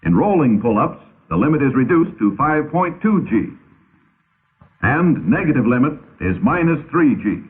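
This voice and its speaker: An American man narrating a 1950s training film in a measured, authoritative, instructive manner, on an old film soundtrack. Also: mid-range voice, very animated, phone-quality sound. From United States.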